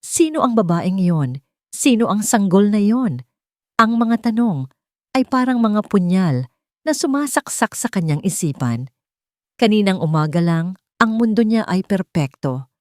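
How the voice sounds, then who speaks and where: A woman with a Filipino accent narrating a scripted love story in a measured, sentimental way. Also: medium pitch, very animated, a little mellow, no echo, studio quality. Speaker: narrator of audio love stories.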